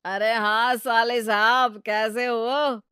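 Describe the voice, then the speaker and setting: A woman's voice: fairly high pitch, little pitch movement, takes it slowly, bright, forceful tone, clean tone, no echo, studio quality. A woman voicing a cocky, irresponsible gambler husband in a cartoon story, boastful and wheedling in manner. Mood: teasing.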